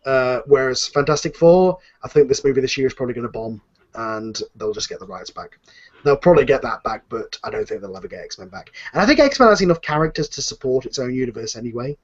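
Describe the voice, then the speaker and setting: A man's voice: mid-range voice, lively intonation, fast talker, highs cut off. A man hosting a casual, unscripted panel discussion, talking in a rambling, opinionated way. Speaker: host and audio drama series creator.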